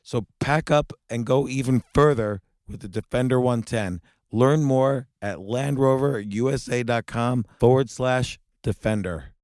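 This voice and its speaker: A man's voice: mid-range voice, unhurried, a little mellow, no room sound, studio quality. A man giving a polished promotional ad read.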